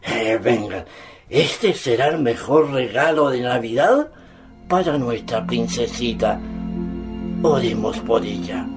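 A man's voice: medium pitch, animated, loud music behind the voice. An actor playing a devout elderly grandfather in a radio drama, speaking earnestly and patiently like someone recounting a cherished memory. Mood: moved, fervent. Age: older. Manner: earnest, hesitant openings, measured storytelling. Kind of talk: Spanish-language radio drama acting.

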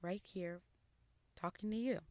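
A woman from Congo hosts a personal podcast, talking in an earnest, reflective way. Her voice sits low. The recording is dry and close, with no room sound. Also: a little slow, dry recording. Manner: earnest, reflective, soft-spoken with occasional laughs. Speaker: podcast host. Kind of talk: personal monologue.

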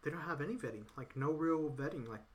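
A man's voice: on the high side, fast talker. A man in casual political chat, rambling and repeating himself with lots of "you know". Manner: acts out others in quoted voices.

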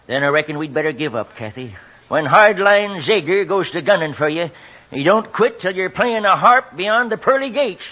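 Crusty high-voiced old frontier geezer. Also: male voice, expressive, moderate pace, pushed and bright, full character voice. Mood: resigned. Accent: American. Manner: crusty, exclamatory frontier talk. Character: cantankerous old western teamster father. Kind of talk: 1950s radio western acting. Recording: dull vintage radio recording.

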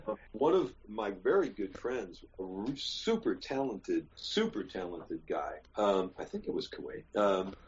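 An older American man, an actor, talking candidly and at length, emphatic, digressive and quick to swear. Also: lowish voice, big pitch swings, dull audio, slight background noise. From United States. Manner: rambling, emphatic, swears freely. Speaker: actor and guest. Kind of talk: candid interview chat.

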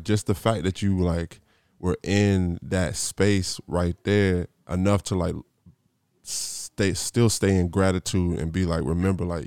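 A man's voice: sits low, darkish tone, dry recording. A man hosting a podcast, telling a rambling, slangy story with lots of restarts. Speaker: podcast host. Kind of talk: casual interview intro.

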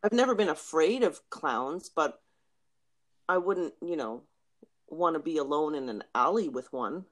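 A woman's voice: deep voice, lively intonation, a little slow. A woman co-host in a casual chat, reacting expressively and laughing.

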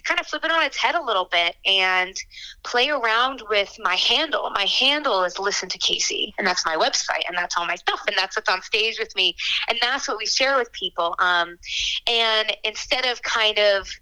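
A young woman singer-songwriter answering interview questions in a chatty, frank way, with quick asides and fillers. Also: medium pitch, lively intonation, sharp tone, smooth, poor audio.

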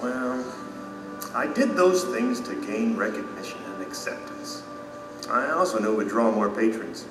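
An actor playing a humble, remorseful citizen in an audio drama, earnest and confessional, in an echoing hall. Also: male voice, mid-range voice, big pitch swings, distant mic. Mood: humble, regretful. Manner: earnest, confessional, thoughtful pauses. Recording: echoey hall, music behind.

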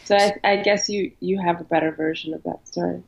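Low-voiced woman in her thirties, lo-fi audio. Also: brisk, vocal fry. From born in Ukraine, raised in New York. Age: 30s. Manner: relaxed, hesitant with frequent ums. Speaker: interview guest. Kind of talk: casual interview chat. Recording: dull lo-fi audio.

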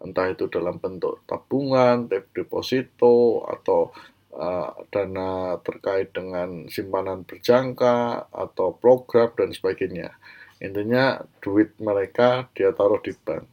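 Low-voiced Indonesian man with a cold. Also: unhurried, dull audio. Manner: explanatory, checks in with short tags. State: congested with a mild cold. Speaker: presenter of an educational banking channel. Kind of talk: informal slide lecture.

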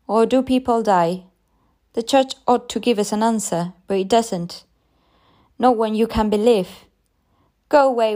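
A woman's voice: fairly high pitch, dark tone, voice pushed up. A woman voicing a crazed old woman in a derelict garden, who talks in eerie, rambling, broken phrases.

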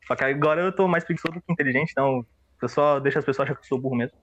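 A man's voice: mid-range voice, racing, a bit bright, dull audio. A young man from São Paulo with a Paulistano accent, chatting casually and joking with slangy, rambling talk on a livestream podcast. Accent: Paulistano Brazilian Portuguese. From São Paulo, Brazil. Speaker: podcast co-host.

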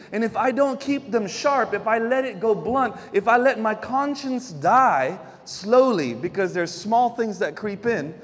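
A man's voice: sits high, fairly bright tone, big-room sound. A man from the Philippines, preaching a sermon in a chatty way with homely stories and frequent restarts. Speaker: pastor.

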